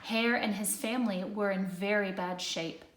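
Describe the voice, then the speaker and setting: A woman narrating a children's storybook in an expressive read-aloud style. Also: medium pitch, moderate pace. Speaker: storybook reader. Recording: echoey room.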